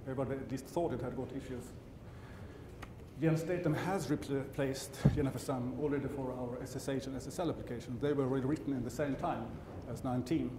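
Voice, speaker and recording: A man's voice: mid-range voice, steady tone, fairly quick. A middle-aged man from Sweden with a Swedish accent, presenting a technical conference talk on stage, often stopping to correct himself, with dry asides. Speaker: conference speaker. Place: large conference hall. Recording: roomy hall sound with background hum.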